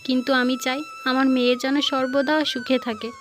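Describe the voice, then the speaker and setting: High-pitched dramatic mother-in-law played by a woman. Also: level tone, fairly quick, music in the background, natural voice. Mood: remorseful. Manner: scolding, emphatic, repeats words for effect. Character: proud, protective middle-aged mother-in-law, scolding and dramatic. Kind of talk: melodramatic cartoon voice acting.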